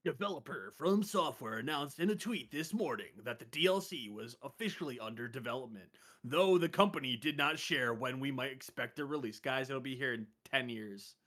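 Man, 30s, podcast co-host; relaxed slangy banter, quick to laugh. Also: mid-range voice. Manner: laid-back, laughs easily, casual slang. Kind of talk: casual gaming podcast chat.

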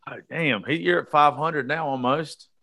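A man's voice: a little high, normal speed, creaky, bright, forceful tone. A man, hosting a casual interview chat in a blunt, joking, foul-mouthed way. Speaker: show host.

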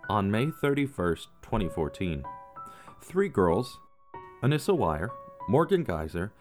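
Low-voiced man narrating true crime. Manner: measured storytelling with dramatic pauses. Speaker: podcast narrator.

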